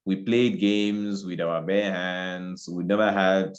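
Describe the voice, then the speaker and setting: Reflective low-voiced man from Cameroon. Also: steady tone, slow pace, lo-fi sound. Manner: reflective, repeats phrases while searching for words. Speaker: guest. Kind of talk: casual interview talk.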